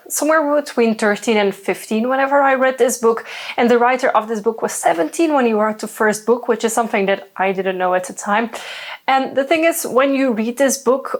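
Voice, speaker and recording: A young woman whose first language is Dutch, chatting casually about books with lots of fillers and asides. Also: on the high side. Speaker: book reviewer. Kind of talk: casual book review talk.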